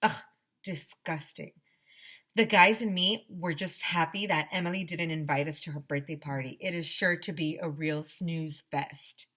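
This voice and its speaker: A middle-aged woman reading a children's book aloud like a teacher, expressively and deliberately, with little asides. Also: deep voice, fairly even intonation, bright, forceful tone. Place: small decorated home classroom, indoors. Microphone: headset microphone.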